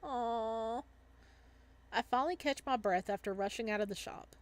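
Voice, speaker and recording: Woman, higher voice, visual novel let's play, expressive narration, casual playful commentary. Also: normal speed. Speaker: let's player reading a visual novel aloud. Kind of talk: casual game commentary mixed with dramatic narration.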